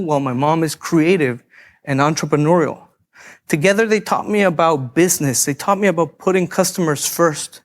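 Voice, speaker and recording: A man from Guatemala in his thirties giving an earnest, measured keynote talk on a conference stage. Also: on the high side. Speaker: keynote speaker. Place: conference stage before an audience.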